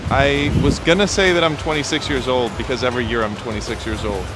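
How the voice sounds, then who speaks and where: A man's voice: mid-range voice, steady tone, fast, loud music behind the voice, low-quality recording. A man in his early thirties answering viewer questions in a casual, chatty way as a fitness influencer. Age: early 30s.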